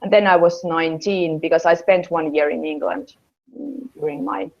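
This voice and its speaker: A young Finnish woman speaks English with a Finnish accent, her voice set a little low for a woman. She halts often and fills gaps with "uh" while she searches for words. The sound is dull and lo-fi, like a home video call. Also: steady tone, normal speed, gravelly, darkish tone. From Finland. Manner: hesitant, searching for English words, many fillers. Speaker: interviewee. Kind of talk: casual interview answers. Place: small room at home, indoors.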